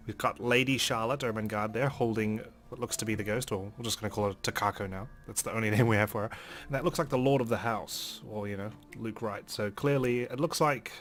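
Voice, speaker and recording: A man with a low voice hosts a let's play of a visual novel. He reads the story in a measured, dramatic way, with faint music behind him. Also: fairly bright tone. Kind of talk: dramatic read-aloud narration of a visual novel with commentary.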